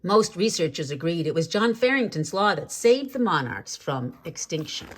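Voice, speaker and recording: A woman reading a children's story aloud in an expressive, measured way, drawing out key words for drama. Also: on the low side, husky. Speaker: storybook reader.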